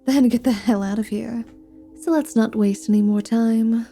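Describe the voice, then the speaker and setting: A woman's voice: on the high side, fairly even intonation, normal speed, dark tone, faint music behind, natural voice. A woman voicing a flirty, sardonic, clever vampire rogue in an ASMR fantasy roleplay, with a teasing, impatient, sweary manner. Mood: brisk, wry.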